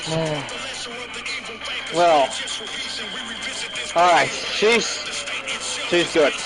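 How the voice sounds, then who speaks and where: Male heel commentator, high-pitched, quarrelsome, faint music behind. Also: drawn-out words, low-quality recording. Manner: defensive and bickering, trades insults. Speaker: color commentator. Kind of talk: heel wrestling commentary banter.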